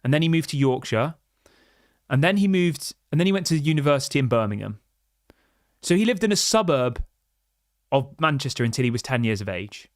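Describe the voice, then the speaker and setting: A man's voice: mid-range voice, expressive, a little fast, long pauses, no echo, clean studio sound. A man hosting a football fan livestream, reading viewer chats and ranting passionately with lots of restarts and rhetorical questions. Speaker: football fan channel host.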